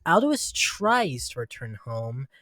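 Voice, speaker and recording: A man's voice: medium pitch, big pitch swings, slow pace, pushed and bright, dry recording, studio quality. A man reading scripted story narration as the narrator. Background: background music.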